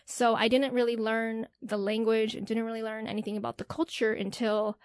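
Interview guest: young woman, higher pitch, dry close recording, animated and conversational. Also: brisk, no echo. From United States. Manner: animated storytelling, frequent "right?" tags. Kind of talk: casual interview chat.

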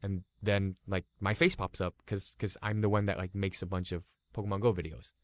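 A man in his thirties from Los Angeles, whose first language was Cantonese, telling his story in a relaxed interview full of "like" fillers. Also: deep voice, a little fast, no echo. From Los Angeles, California. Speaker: guest YouTuber.

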